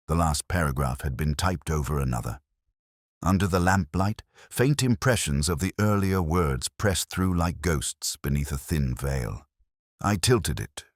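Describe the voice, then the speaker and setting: A man with an exceptionally deep voice narrates a detective story in the first person. His delivery is measured and brooding, with a literary turn and a lively, varied melody. The sound is very dry, with no room around it. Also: dry recording.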